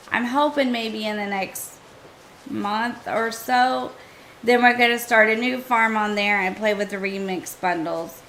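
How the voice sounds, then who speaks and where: A woman streaming a survival game, chatting casually and thinking aloud to her viewers, her voice a little hoarse. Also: mid-range voice, sharp tone, slight background noise, poor audio. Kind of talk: casual gameplay commentary.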